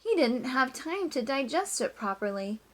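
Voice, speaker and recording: Lady teacher doing a kids read aloud, kinda high voice, really stresses and stretches words. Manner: expressive, storytelling emphasis with drawn-out words and hushed asides. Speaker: teacher reading aloud to pupils. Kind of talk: picture-book read-aloud for children.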